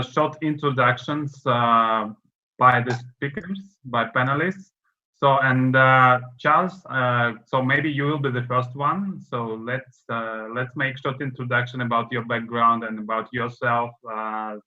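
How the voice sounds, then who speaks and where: A man moderating an online panel, halting and full of filler sounds as he hands over to each speaker. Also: medium pitch, bright, forceful tone. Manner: hesitant, many filler sounds. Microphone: video call. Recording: dull lo-fi call audio.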